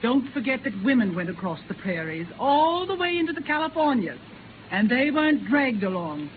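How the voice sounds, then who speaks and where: A woman's voice: on the high side, very animated, normal speed, narrow, tinny audio, a little hiss or hum. An actress playing a determined, feisty pioneer woman in 1930s radio drama. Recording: thin 1930s radio recording, crowd behind.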